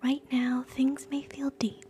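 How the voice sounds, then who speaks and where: A woman hosting live ASMR in a soft, breathy whisper, gentle and soothing, moving between guided relaxation and quiet chat. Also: sits high, flat delivery, a little slow, slight background noise. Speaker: ASMR streamer, host.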